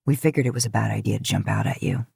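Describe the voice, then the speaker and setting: A woman voicing a gruff, sardonic, wisecracking man in an audio story. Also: extremely low pitch, racing, a little mellow, dry recording, clean studio sound, voice pushed down, heavily acted. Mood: wry. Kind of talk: fan fiction audio story reading.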